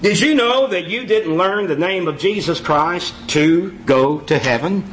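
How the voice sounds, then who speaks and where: A man preaching an informal sermon in a church, folksy and self-mocking, repeating his phrases and exclaiming loudly. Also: high-pitched, unhurried, a little hiss or hum, poor audio.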